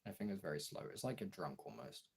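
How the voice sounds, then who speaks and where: Low, gravelly young man chatting candidly. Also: expressive. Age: young adult. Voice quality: gravelly, husky. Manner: halting, frank, swears casually. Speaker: streamer and voice actor chatting to followers. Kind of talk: casual candid chat.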